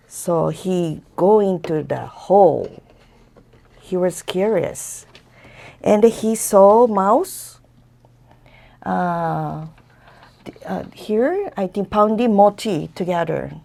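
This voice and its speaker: A woman with a Japanese accent, a native Japanese speaker, retelling a folktale haltingly as she searches for her words. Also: mid-range voice, expressive, gravelly, a little mellow. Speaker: guest storyteller.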